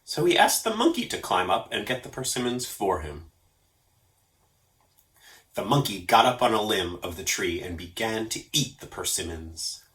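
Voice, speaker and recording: A man reading a story aloud as an expressive narrator. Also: lowish voice, moderate pace. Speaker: storyteller for a library story program.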